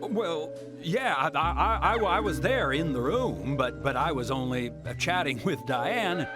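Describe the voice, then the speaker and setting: A man's voice: fairly high pitch, lively intonation, a little slow. An actor playing a nervous, evasive small-town man in a video game, stammering and folksy. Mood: flustered, evasive. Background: loud game music.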